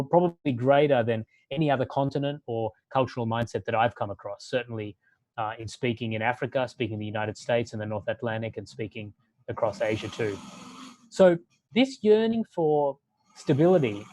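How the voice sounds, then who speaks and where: A man who grew up in Australia, giving a sermon-like talk in a measured way and building long lists for emphasis. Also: medium pitch, dark tone, no room sound, rough recording.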